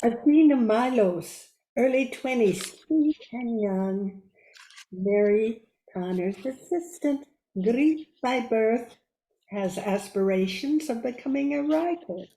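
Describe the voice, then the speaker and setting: Creaky, mellow woman in echoey room. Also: a little high. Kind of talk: scripted cast introduction.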